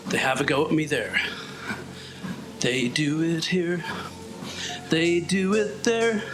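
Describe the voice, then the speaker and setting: A man's voice: a little high, slow. An Irish man talking to himself in a loose, wandering monologue while walking on a treadmill, sniffly, with self-mocking asides. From Ireland. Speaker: podcast host. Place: home, on a treadmill. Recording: background music under voice.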